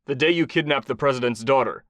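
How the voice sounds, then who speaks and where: A man's voice: medium pitch, fast, a bit bright, no echo, lightly acted. A man voicing a hard, ruthless action hero who interrogates with grim, barked demands in an audiobook. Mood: grim menace. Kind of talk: audiobook character voice.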